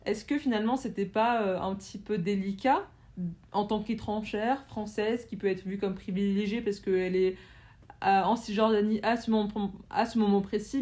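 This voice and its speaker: A woman with a mellow, soft tone hosts a podcast discussion. She builds a long question step by step, restarting and hesitating as she finds her words. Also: medium pitch. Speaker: podcast host.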